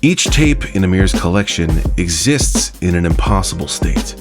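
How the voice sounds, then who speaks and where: A man's voice: sits low, animated, moderate pace. A man hosting a music video series, delivering scripted narration.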